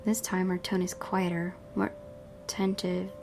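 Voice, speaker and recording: A woman's voice: fairly low pitch, level tone, a little slow, over music. A woman hosting a gaming video, reading visual novel narration expressively and adding casual asides.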